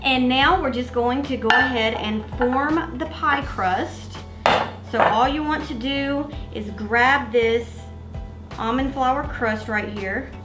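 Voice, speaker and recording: A woman's voice: on the high side, fairly bright tone, echoey room. A young woman with a Mississippi Southern accent hosting a casual cooking tutorial, explaining each step in a chatty, friendly way. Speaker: cooking vlogger host.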